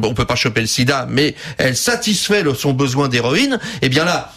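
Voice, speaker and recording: Higher-voiced male radio panelist. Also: fairly bright tone. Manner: explanatory, halting repetitions, agrees emphatically. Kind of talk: opinionated radio debate.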